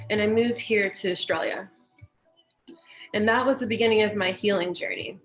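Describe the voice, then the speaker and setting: A woman from California with an American accent, talking gently and unhurriedly as she hosts a guided meditation session and speaks in spiritual phrases. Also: fairly high pitch, low-quality recording. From California, United States. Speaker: meditation teacher and session host. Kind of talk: welcoming introduction to a guided meditation, personal talk.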